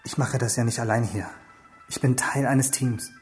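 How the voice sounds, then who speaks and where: A man's voice: mid-range voice, fairly even intonation, moderate pace, soft background music, close to an everyday voice. A man in a German radio crime drama playing a wary, unsettled police detective, hesitant and questioning.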